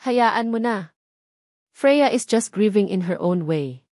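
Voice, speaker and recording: A woman's voice: medium pitch, lively intonation, darkish tone, no room sound, studio quality. Woman voicing a commanding, secretive Filipino patriarch. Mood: calm authority. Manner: measured, commanding. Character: authoritative, secretive older patriarch, calm but weighty.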